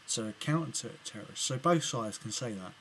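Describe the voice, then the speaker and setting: English male vlogger, mid-pitched, halting delivery with lots of fillers, light background hiss. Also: animated, fairly bright tone. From England. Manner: hesitant, many ums and restarts. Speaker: video blogger replying to another video. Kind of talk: casual spoken commentary to camera.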